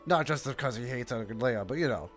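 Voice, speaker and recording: A man streaming casual game reaction commentary, haltingly repeating his phrases as he thinks aloud. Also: mid-range voice, expressive, a bit bright. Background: game music.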